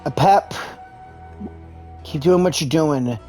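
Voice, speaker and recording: Slow, earnest game dialogue reader. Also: medium pitch, warm tone. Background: music.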